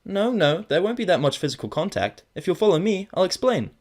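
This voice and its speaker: A man voicing an excitable, bookish young female pony who talks in an eager, gushing way. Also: high-pitched, a little fast, pitched above the performer's own voice, heavily acted. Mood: reassuring.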